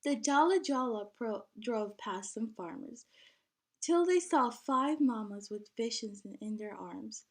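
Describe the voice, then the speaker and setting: A woman with a high voice reads a picture book aloud for young children. Her pace is slow and her delivery expressive. She speaks like a teacher, stepping out of the text to question her listeners.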